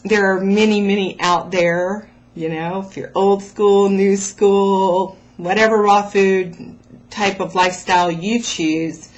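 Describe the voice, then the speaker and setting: A woman's voice: mid-range voice, fairly even intonation, takes it slowly, dull audio. A woman talking casually and motivationally to her followers as a vlog host, addressing the listener directly with encouraging emphasis. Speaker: host of a raw food vlog.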